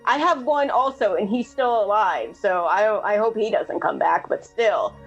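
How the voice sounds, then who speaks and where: A woman's voice: medium pitch, animated, unhurried, breathy edge, dull audio. A woman chatting casually as she improvises roleplay, blunt and colloquial, with plenty of filler "like". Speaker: tabletop roleplay player. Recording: music playing under the voice.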